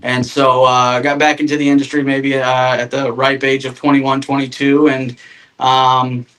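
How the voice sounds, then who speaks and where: A man's voice: medium pitch, monotone, moderate pace, a bit bright, low-quality recording. A man in his thirties from Northern Indiana, a podcast guest talking casually and self-deprecatingly, with many fillers and restarts. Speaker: guest, RV sales manager.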